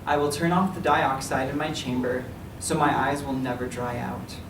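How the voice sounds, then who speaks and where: A man giving a measured, solemn reading of a literary piece before a live event. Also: medium pitch, steady tone. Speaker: writer reading own work. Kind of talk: literary reading, dramatic monologue in verse. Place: public reading in a library room. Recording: echoey room, distant mic, clear noise.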